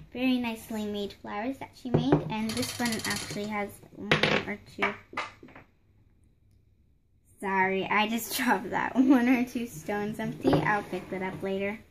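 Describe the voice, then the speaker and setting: A woman's voice: a little high, fairly even intonation, unhurried, heavy background noise. A young girl casually showing off a doll house her mom built, rambling with fillers and restarts, filmed close on a phone at home. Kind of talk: casual show-and-tell narration. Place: home room beside a handmade doll house. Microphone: phone camera held close, hands in frame.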